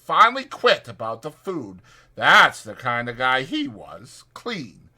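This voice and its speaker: A man's voice: mid-range voice, huge pitch swings, slow, sharp tone, pitched above the performer's own voice, full character voice. A man voicing a chatty old ranch swamper with rambling, folksy speech for a dramatized audiobook. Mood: gossipy, insistent.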